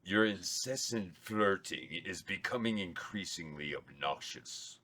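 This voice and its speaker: Robotic bodyguard butler, performed by a man: very low, forceful gravel, literal-minded, formal, deadpan. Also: measured pace, heavily acted. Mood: deadpan annoyance. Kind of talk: comic table-read acting.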